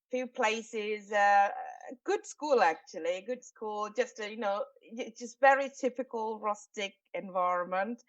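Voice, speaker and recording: A woman's voice: mid-range voice, recorded from a distance, highs cut off. A woman in her forties from Sri Lanka, a podcast guest speaking casually with many fillers and restarts. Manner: halting, many fillers and restarts, laughs often. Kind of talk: casual interview talk.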